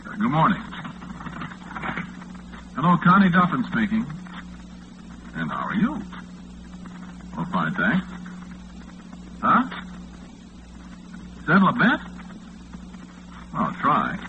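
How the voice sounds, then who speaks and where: Lonesome, affable American graveyard-shift disc jockey, 1940s radio drama, chatty and hesitant, animated pitch, narrow phone-like sound. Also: male voice, slow pace, pauses often, heavily acted. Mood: friendly, obliging. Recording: muffled, noisy 1940s radio recording, phone-like band.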